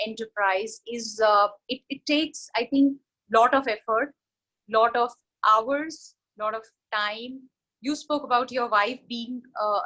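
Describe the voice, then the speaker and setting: A woman hosts the interview in a fairly high voice. She asks long, winding questions, rephrasing them as she goes. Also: sharp tone, poor audio. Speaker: interview host, happiness coach.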